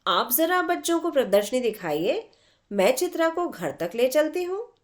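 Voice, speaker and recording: A woman's voice: high-pitched, very animated, moderate pace, pitched above the performer's own voice. A woman voicing a teasing, affectionate mother in a story reading, playfully ordering people about. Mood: friendly. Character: warm, teasing mother, playfully commanding old friend.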